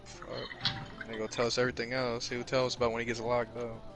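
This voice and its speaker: A man's voice: mid-range voice, monotone, quick pace, music in the background. A man of nineteen teasing and arguing with a friend in casual chat while gaming. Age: young adult. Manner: teasing, argumentative, swears freely. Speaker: host.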